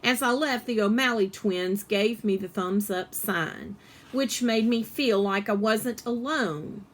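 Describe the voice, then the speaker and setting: A woman reading a children's story aloud in an animated, expressive way, with emphasis on the dialogue. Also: medium pitch, takes it slowly.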